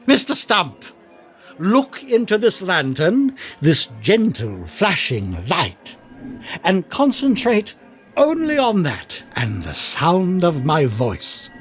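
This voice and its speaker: An actor playing a theatrical stage hypnotist in an audio drama, with a grandiose, commanding manner. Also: male voice, sits high, wildly swooping pitch, slow, soft background music, full character voice. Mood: hushed, hypnotic.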